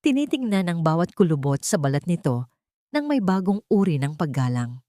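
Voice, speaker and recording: A woman with a fairly low voice tells a sentimental story as scripted narration. Her delivery is solemn and emotive, and the sound is close and dry. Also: sing-song, normal speed, mellow, no room sound.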